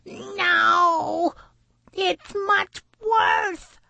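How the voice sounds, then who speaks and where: Woman voicing a high, whiny, jealous young pond animal. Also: expressive, slow, no room sound, dull audio, full character voice. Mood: indignant outburst. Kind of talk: children's storybook character voice.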